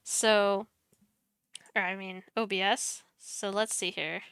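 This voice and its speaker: Soft-spoken young woman on a headset. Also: mid-range voice, steady tone, slow, clear, resonant tone, no echo, clean studio sound. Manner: chatty, self-deprecating, mutters while clicking. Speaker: streamer teaching streaming setup. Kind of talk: casual screen-share tutorial.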